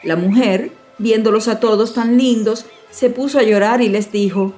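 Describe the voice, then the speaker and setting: A woman storyteller reading a scripted fairy tale in an expressive, measured way. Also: fairly high pitch, faint music behind. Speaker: audiobook storyteller.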